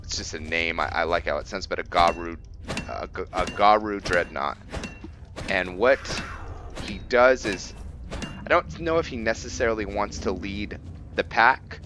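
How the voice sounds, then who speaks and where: Low-voiced, slow-talking man with bright edge. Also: noisy background. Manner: halting, thinking aloud. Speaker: gameplay host and role-player. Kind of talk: casual game commentary explaining character lore.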